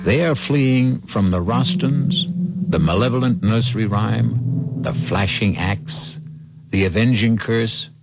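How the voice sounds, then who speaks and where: A man's voice: lowish voice, takes it slowly, background noise. An actor playing an ominous radio mystery narrator, grave and suspenseful in his dramatic delivery. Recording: muffled vintage radio broadcast under music.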